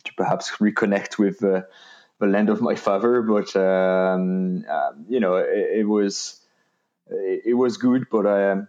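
A man from France speaks with a French accent in a very low voice, as a podcast guest telling his story. He stops and restarts often, searching for words. The sound is muffled and lo-fi. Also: unhurried, a little mellow. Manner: hesitant, many restarts and fillers. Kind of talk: casual interview talk. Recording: dull lo-fi sound.

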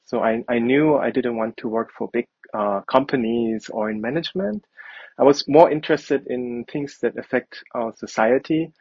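A man in his thirties from Germany answers questions in a thoughtful, hesitant way. His voice is a little husky. The recording is dry and slightly muffled. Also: mid-range voice, moderate pace, a little mellow, no room sound. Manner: thoughtful, hesitant with fillers and restarts. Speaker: architect guest. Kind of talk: interview answers.

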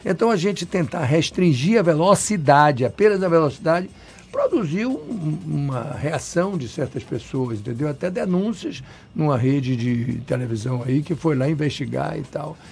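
A man's voice: fairly high pitch, animated, normal speed, vocal fry, darkish tone. A man from Salvador with a Bahian accent, an urban planner giving long, emphatic, digressive answers in an interview. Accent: Bahian Brazilian Portuguese. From Salvador, Bahia, Brazil. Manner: talkative, emphatic, digressive with restarts.

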